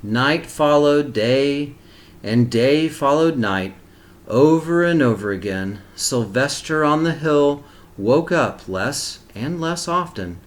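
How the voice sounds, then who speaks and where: A man's voice: fairly high pitch, animated, takes it slowly. Man reading a children's story aloud. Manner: simple, explanatory, addressing young children. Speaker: teacher reading a picture book aloud to kindergarteners. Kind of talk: read-aloud storytelling with explanatory asides.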